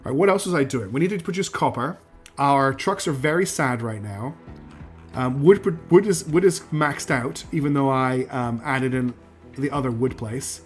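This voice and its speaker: Man at mid pitch, game streamer, thinking aloud, counting steps, a little hoarse from losing his voice, soft music behind. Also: normal speed. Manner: talks himself through building steps, counts out loud, asks himself questions; answers chat messages as he plays. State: losing his voice, clearing his throat. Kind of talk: live gameplay commentary, thinking aloud.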